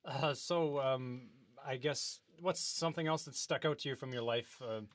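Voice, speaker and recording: A man's voice: medium pitch, normal speed, dry recording. A man hosting an improvised comedy talk-show interview, speaking in a hesitant, earnest, wide-eyed way.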